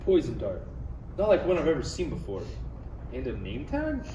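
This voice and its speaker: A man's voice: fairly high pitch, huge pitch swings, normal speed. A man voicing a fussy, admonishing Jedi master with dry exasperation in a comedy table read. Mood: puzzled. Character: fussy, scolding male Jedi master, parody mentor. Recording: distant, echoey, noisy room recording.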